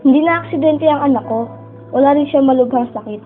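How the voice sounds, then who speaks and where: A thin, phone-like recording with faint music carries a high-pitched mother acted by a woman. She is worried and sorrowful yet hoping, with an emotional radio drama delivery. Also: quick pace, lightly acted. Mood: sorrowful, hopeful. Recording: muffled phone-like sound with music underneath.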